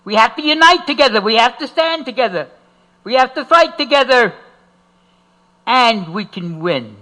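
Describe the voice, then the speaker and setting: An older man speaks in a high, bright, forceful voice. He delivers a rousing activist speech, stretching out key phrases and repeating them in rising waves like call-and-response. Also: animated, normal speed, low-quality recording. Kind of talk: impassioned rally speech.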